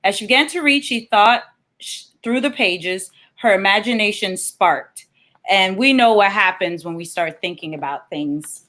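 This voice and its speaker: A woman's voice: medium pitch, normal speed, sharp tone. A woman speaking informally as a guest children's author and librarian, presenting a read-aloud for kids.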